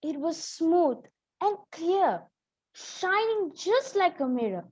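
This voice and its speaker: A woman's voice: extremely high pitch, sing-song, takes it slowly, a little mellow. A young female student narrating a children's story as scripted readers theater. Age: teenager or young adult student. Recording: slightly dull, muffled audio.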